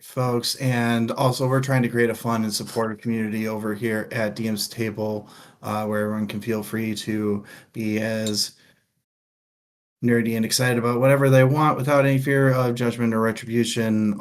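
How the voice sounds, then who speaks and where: A man's voice: lowish voice, slight background noise. A man co-hosting a casual live book discussion, speaking in a jokey, informal, playfully theatrical way. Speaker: co-host of a book discussion show.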